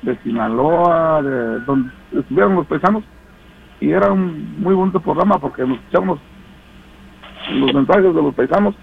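A man's voice: fairly high pitch, animated, moderate pace, gravelly, phone-quality sound, slight background noise. A man calling in to a radio show, sending greetings to family in a rambling way with many fillers. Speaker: caller.

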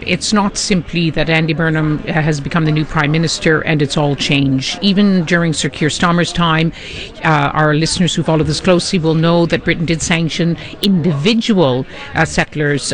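Slightly high-voiced male news correspondent. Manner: fluent, measured, with frequent restarts. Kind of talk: live news analysis.

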